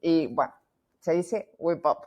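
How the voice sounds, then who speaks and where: Lively woman with a Mexican accent. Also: sits low, slow. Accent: Mexican Spanish. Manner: lively, talkative, many restarts. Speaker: founder and guest. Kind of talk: podcast interview.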